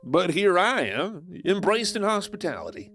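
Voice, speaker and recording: Man playing a high-voiced, swaggering Western outlaw. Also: very animated, moderate pace, a bit bright, faint music behind, full character voice. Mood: persuasive. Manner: theatrical, teasing, flirtatious swagger. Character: cocky, sly male Western outlaw, smooth-talking schemer. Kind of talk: Western audio drama acting.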